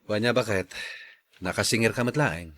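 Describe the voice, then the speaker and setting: Actor as low-voiced, patient, kindly husband. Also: male voice, normal speed, a bit bright, natural voice. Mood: gently questioning. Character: calm, kind-hearted male office worker, patient husband. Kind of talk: Ilocano audio drama acting.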